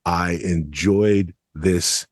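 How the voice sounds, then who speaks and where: A man's voice: sits low, drawn-out words, no echo, clean studio sound. A man co-hosting a podcast, giving a casual but articulate review with emphatic, explanatory phrasing. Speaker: podcast co-host.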